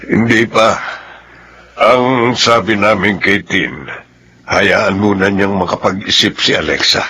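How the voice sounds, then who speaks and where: Raspy, forceful yet reassuring Filipino man. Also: on the low side, slow pace, exaggerated voice. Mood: calm reassurance. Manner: reasoning, reassuring. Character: calm, reassuring male family friend. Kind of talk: radio drama acting.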